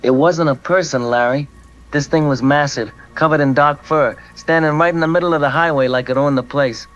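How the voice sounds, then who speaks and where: A man's voice: on the high side, steady tone, brisk, fairly bright tone, faint music behind, natural voice. A man playing a rattled veteran truck driver calling into a radio show in an audio drama. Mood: rattled, earnest. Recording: dull lo-fi call-in sound.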